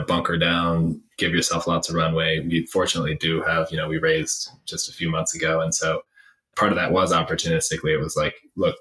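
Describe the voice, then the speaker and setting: A man's voice: low voice, bright, forceful tone. A man speaking as an interview guest and startup executive, conversational yet emphatic and opinionated, building points through repeated phrases. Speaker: startup CEO and former finance leader, interview guest. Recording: roomy, echoey sound.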